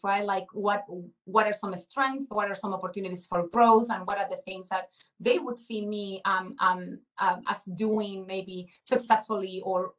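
A woman's voice: mid-range voice, fairly bright tone, distant mic, low-quality recording. A woman in her forties from Chile, giving a reflective webinar talk over a video call with many fillers and restarts. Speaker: guest speaker. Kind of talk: webinar presentation.

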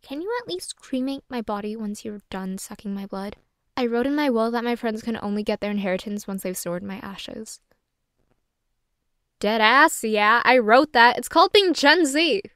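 A woman's voice: on the high side, moderate pace, a bit bright, clean tone, no room sound, clean studio sound, natural voice. A young adult woman playing a sardonic, flustered, self-deprecating young woman who rambles and swears casually in an audio roleplay monologue. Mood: wry, rambling.

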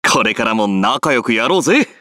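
An actor playing a rough, brash but friendly young warrior lord in a video game, casual and confident. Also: male voice, medium pitch, sing-song, very fast, sharp tone. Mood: cocky, casual. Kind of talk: Japanese video game character voice clips.

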